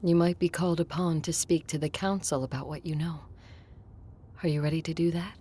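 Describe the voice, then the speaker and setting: A woman playing a solemn, determined, centuries-old vampire leader in an audio drama, measured and earnest. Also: low-pitched, steady tone, mellow, slight background noise, lightly acted. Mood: grave, resolute. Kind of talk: dramatic acting with first-person narration.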